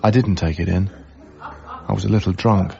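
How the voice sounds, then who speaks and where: Low, gravelly, heartbroken young Englishman. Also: fairly even intonation, normal speed, lo-fi sound, over music. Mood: brooding, reflective. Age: young adult. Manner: wry, reflective, reticent. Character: heartbroken, guarded young man, a wry lodger. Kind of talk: radio drama acting with intimate first-person narration.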